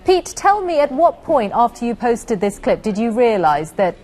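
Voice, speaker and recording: A woman's voice: fairly high pitch, big pitch swings, normal speed, darkish tone. A woman presenting the news in a studio and interviewing a guest, polished and lightly amused.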